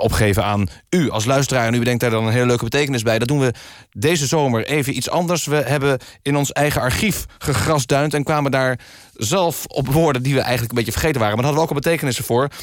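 A man presenting a mock-serious radio magazine in a deadpan manner. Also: mid-range voice, no room sound, clean studio sound. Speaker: radio host. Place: radio studio.

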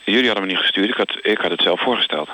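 Clueless freelance radio reporter man, awkward and hesitant, lowish bright voice. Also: no echo, rough recording. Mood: awkward, earnest. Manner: halting, hesitant. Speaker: field reporter. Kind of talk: mock live radio report.

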